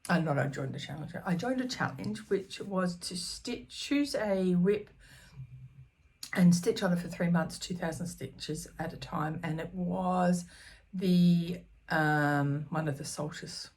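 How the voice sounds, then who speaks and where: A woman in her fifties chatting casually to camera about her stitching, scattered and full of ums, her voice slightly croaky. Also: fairly low pitch, a little slow, darkish tone. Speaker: cross stitch vlogger. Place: small room at home.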